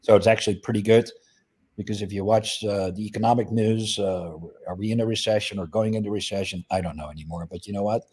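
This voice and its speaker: A man's voice: fairly low pitch, steady tone, fast talker, a little mellow, husky. A middle-aged man from the Netherlands, explaining his business in a video call interview with many fillers. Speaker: guest, flower business owner. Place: indoor room.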